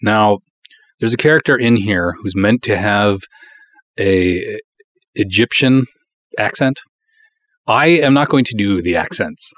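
A man's voice: fairly low pitch, slow pace, long pauses, a bit bright, no room sound. A man chatting casually as the host of a podcast. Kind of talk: casual chat.

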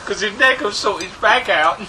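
A man telling a casual anecdote to friends, animated and quick to act out what people said. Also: high voice. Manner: animated storyteller, laughs often, acts out quotes. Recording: very noisy, distant informal group recording.

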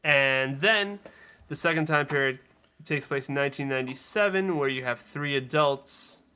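A man's voice: medium pitch, moderate pace, sharp tone, clean tone. A man co-hosting a podcast in a casual film discussion.

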